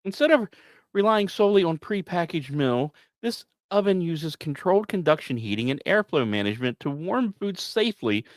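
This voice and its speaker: A man's voice: a little high, dry recording. A man hosting a podcast, narrating science news from notes with casual, wry asides. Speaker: podcast host.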